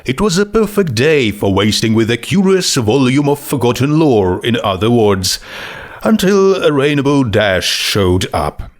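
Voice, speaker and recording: A man's voice: medium pitch, big pitch swings, measured pace. A man narrating a written comic story as a scripted audiobook-style reading. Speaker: narrator of fan fiction.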